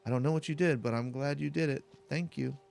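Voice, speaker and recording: A bewildered patient played by a man speaks in halting questions, weak yet grateful. The game dialogue comes in a creaky, mid-pitched voice over faint music. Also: darkish tone, lightly acted. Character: weakened patient, bewildered but grateful after healing. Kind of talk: game dialogue read aloud.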